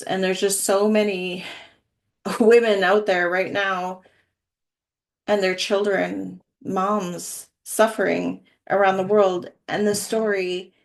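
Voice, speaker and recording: A middle-aged woman, a wellness coach, speaking earnestly and softly as she introduces and reads a short story aloud. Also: mid-range voice, creaky. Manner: earnest, soft-spoken, with small laughs. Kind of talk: casual intro then story reading.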